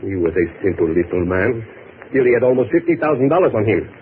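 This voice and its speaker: Courtly Cuban police chief, low voice, swooping intonation, formal, suspicious, radio drama. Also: male voice, big pitch swings. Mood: probing. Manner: formal, measured, ornate phrasing. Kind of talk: 1950s radio acting. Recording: noisy, tinny old radio broadcast.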